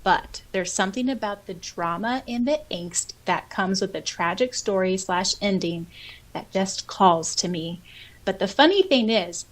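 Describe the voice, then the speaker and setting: A woman co-hosting a podcast, expressive and bubbly as she chats casually and reads out listener comments. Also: medium pitch. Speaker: podcast co-host.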